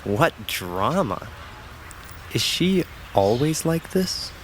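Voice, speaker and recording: Man playing a teasing, animated young man. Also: a little high, takes it slowly, very noisy. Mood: dry amusement. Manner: wry, playful banter. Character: teasing, dry-witted, cocky young man. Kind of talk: audio drama acting.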